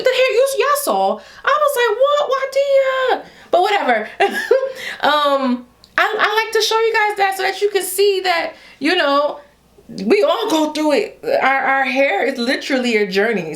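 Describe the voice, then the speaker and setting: Chatty Haitian woman, slightly high voice. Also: big pitch swings, normal speed, a bit bright. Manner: chatty, playful, talks straight to viewers with y'all. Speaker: beauty vlogger. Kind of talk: casual hair vlog chat.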